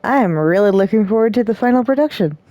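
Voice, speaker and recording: A woman's voice: medium pitch, expressive, fast talker, dark tone, dull audio. A woman giving casual, giggly live commentary while playing a game, with little reactive interjections.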